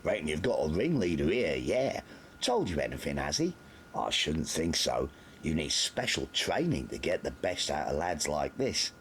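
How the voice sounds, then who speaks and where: A man's voice: extremely low pitch, very animated, normal speed, a little hiss or hum, exaggerated voice. A man voicing a sly, bullying sergeant who threatens in a mock-friendly way in an audiobook. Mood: smug. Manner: smooth, menacing, mock-friendly. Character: menacing, grinning male sergeant, a sly bully. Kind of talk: audiobook character voice.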